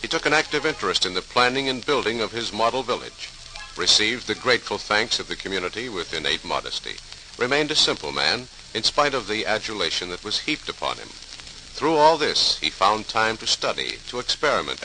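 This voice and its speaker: A man's voice: fairly low pitch, sing-song, a little fast, pushed and bright, soft background music, rough recording. A man narrating a scripted 1940s radio program in a formal, grand announcer style with dramatic emphasis. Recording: vintage 1940s radio transcription.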